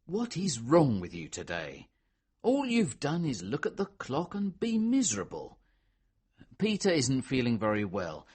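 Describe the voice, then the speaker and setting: A woman's voice: extremely low pitch, wildly swooping pitch, a little slow, darkish tone, slightly rough, voice pushed down, full character voice. A woman voicing a weary, stern but concerned middle-aged father in audiobook character dialogue.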